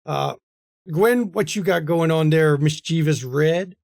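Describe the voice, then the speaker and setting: A man's voice: on the high side, measured pace, gravelly, darkish tone, no room sound, studio quality. A man with an American accent hosting a game stream in a casual, chatty style. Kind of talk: casual chat and stream outro.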